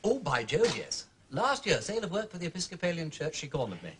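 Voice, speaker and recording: A man's voice: fairly high pitch, big pitch swings, quick pace, a bit bright, a little hiss or hum. An actor playing a jaunty, whimsical upper-class English amateur detective in a period radio drama, flippant and playfully theatrical in his old-fashioned phrasing. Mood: amused, inquisitive.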